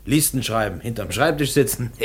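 An actor as a young railwayman in a radio play, with a medium-pitched male voice. He is cocky and restless, talking in an eager, colloquial, brash manner. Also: lively intonation, normal speed, a bit bright, faint music behind, lightly acted. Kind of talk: radio drama dialogue.

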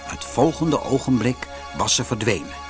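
A man reading a scripted fairy tale for children as an expressive narrator. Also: mid-range voice, normal speed. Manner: expressive storytelling. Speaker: storybook narrator. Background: music.

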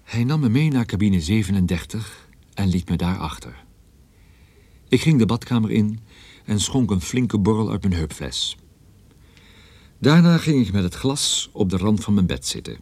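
A man's voice: lowish voice, big pitch swings, normal speed, long pauses, darkish tone, husky, dry recording, heavily acted. An actor playing a world-weary private detective who narrates his own story in a dry, sardonic radio drama style. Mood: gloomy, sardonic. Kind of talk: scripted first-person radio drama narration.

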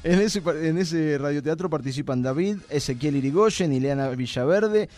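A man's voice: on the high side, normal speed, darkish tone. A man with an Argentine accent hosting a live radio show, with a playful, joking way of talking. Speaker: radio host.

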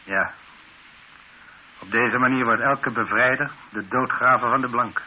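An earnest, worried planter played by a male actor in an old radio drama, mid-pitched and subdued. The recording is narrow and faintly hissy. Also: a little slow, lightly acted. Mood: grim, resigned. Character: worried, protesting male colonial planter and father.

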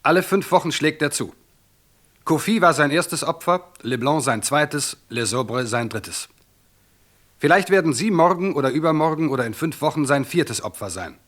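An actor voicing an alarmist, indignant newspaper writer, declaiming an article in a radio play. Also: male voice, on the high side, fairly bright tone. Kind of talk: dramatic reading of a newspaper article.

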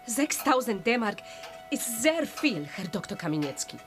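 A high, plaintive, cornered claimant. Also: female voice, sing-song, a little slow, faint music behind. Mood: pleading. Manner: plaintive, defensive. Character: pleading, cornered female claimant at an office. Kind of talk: German radio drama acting.

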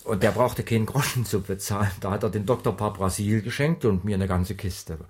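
A man's voice: fairly low pitch, brisk, a little mellow, natural voice. An actor playing a talkative, jovial circus man who chats colloquially in radio drama style. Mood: fondly reminiscing. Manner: colloquial, jovial, tags sentences with questions. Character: chummy, talkative circus man sharing beer and cigars. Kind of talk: 1980s German radio drama acting.

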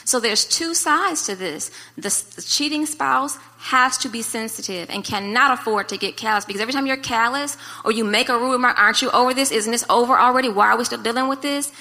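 A woman's voice: a little high, a little fast, few pauses, pushed and bright. An American woman giving earnest, instructive advice as a speaker at a marriage conference, in a conversational preaching style. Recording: reverberant hall sound.

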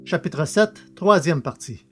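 A man reading scripted science fiction narration in a measured, literary way. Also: on the high side, lively intonation. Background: music.

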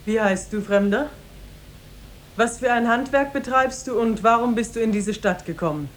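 A woman's voice: moderate pace, natural voice. Regal actress playing a young woman disguised as king. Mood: regal, commanding. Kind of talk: 1950s German radio play acting. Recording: 1950s radio recording with faint rumble.

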